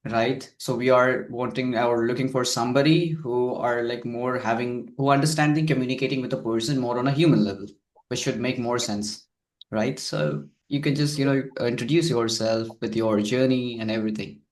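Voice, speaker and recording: A man's voice: mid-range voice, fairly even intonation, moderate pace. A man interviewing a guest over a video call, rambling and full of "like, you know" fillers. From India. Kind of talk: casual interview conversation. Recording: roomy echo.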